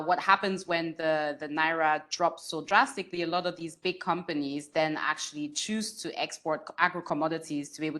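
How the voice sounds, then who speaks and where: A woman with a low voice explains her points on a webinar video call, often tagging them with "right?". She speaks unscripted through a webcam. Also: sharp tone. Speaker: webinar panelist. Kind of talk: unscripted expert answer.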